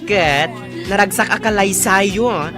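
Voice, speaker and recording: A woman's voice: mid-range voice, slow, close to an everyday voice. A woman with an Ilocano accent playing a friendly, generous friend in a radio drama, cheerful and chummy. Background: music.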